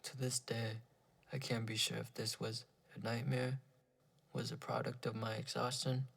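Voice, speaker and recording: A man with a gravelly, husky voice reading a first-person horror story in a brooding, dramatic, deliberate way. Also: on the low side, fairly bright tone.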